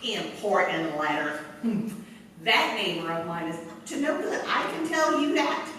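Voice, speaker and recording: A fussy, suspicious neighbor lady played by a woman in an old 1940s radio play. Her voice is bright and very animated, ringing in a reverberant hall. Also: fairly low pitch, unhurried, distant mic. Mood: suspicious, gossipy. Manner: fussy, gossipy. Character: gossipy, suspicious small-town mother and neighbor woman. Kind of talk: 1940s radio drama acting on stage. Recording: reverberant hall from afar, faint noise.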